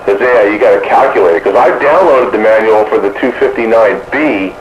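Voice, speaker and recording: A man's voice: on the low side, a little fast. A man chatting casually about technical matters as a radio operator, rambling along in a folksy way over a two-way radio link. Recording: dull noisy radio transmission, boxy echo.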